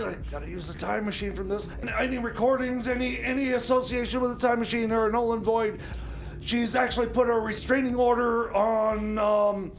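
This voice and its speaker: A man's voice: extremely high pitch, vocal fry, rough recording. A man voicing a flustered male festival booker who stammers and hesitates. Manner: stammering, hesitant, full of fillers.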